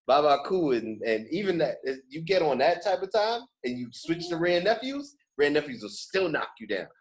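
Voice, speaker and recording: Male podcast host, rapid delivery, casual and slangy, repeats lines for emphasis. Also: a little high, a little hiss or hum. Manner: animated street slang, repeats phrases for emphasis.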